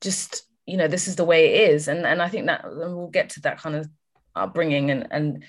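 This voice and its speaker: Brief: Kenyan-born female host, low-pitched, chatty and affirming. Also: brisk. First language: Swahili. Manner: warm affirming interjections, many fillers. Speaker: podcast host. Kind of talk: casual conversational interview.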